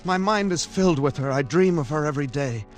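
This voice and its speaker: A man's voice: on the high side, fairly quick, soft background music, lightly acted. An actor playing an earnest, anxious, lovesick man, intense and melodramatic in old-time radio drama style. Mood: anguished narrating.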